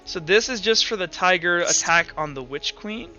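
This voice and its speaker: A man working as game master, improvising narration for a tabletop roleplaying game in a halting, repetitive way. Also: high-pitched, animated, bright, forceful tone. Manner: halting, repeats words while improvising. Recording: dull lo-fi audio with music behind. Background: background music.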